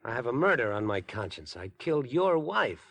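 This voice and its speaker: An American actor playing an unhinged, obsessive young rich murderer in 1950s radio suspense style, wheedling, insinuating and theatrical. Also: male voice, medium pitch, big pitch swings, normal speed, a bit bright. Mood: menacing, smug. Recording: noisy vintage 1950s radio recording.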